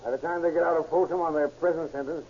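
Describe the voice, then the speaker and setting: An actor playing a frustrated, eager police detective in an old radio crime drama. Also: male voice, fairly high pitch, fairly even intonation, fast talker, a little mellow. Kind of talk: 1940s radio acting. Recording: muffled vintage radio recording.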